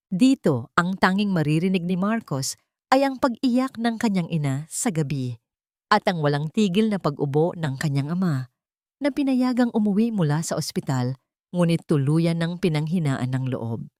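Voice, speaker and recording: A woman's voice: fairly low pitch, a little mellow, dry recording, clean studio sound. A woman with a Filipino accent giving a scripted, dramatic story narration.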